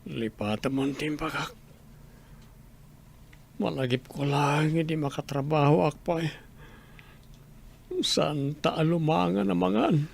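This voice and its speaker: A man playing a sickly, struggling husband with a gravelly voice and an Ilocano accent in a radio drama. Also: fairly high pitch, slow, pauses often, exaggerated voice. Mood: weary pleading. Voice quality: gravelly, creaky. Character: sickly, struggling husband pleading about money and medicine.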